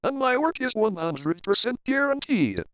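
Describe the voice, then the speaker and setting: A man voicing a slick travelling salesman in a storybook, announcing his persuasive patter clearly. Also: extremely high pitch, big pitch swings, normal speed, lo-fi sound, pitched above the performer's own voice. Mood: ingratiating. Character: slick, fast-talking male travelling salesman and swindler.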